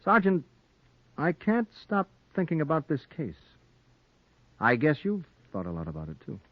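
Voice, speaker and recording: A man's voice: fairly high pitch, wildly swooping pitch, normal speed, no echo. An actor playing a driven newspaper reporter who narrates his own noir story with intense, dramatic delivery in a 1940s radio play. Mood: probing, tense. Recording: muffled 1940s radio recording with music.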